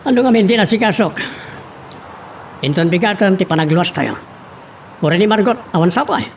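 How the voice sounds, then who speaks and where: Man playing a high-voiced, matter-of-fact Filipino father. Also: expressive, fairly quick. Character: matter-of-fact father giving orders to family. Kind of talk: amateur audio drama acting. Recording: faint background noise.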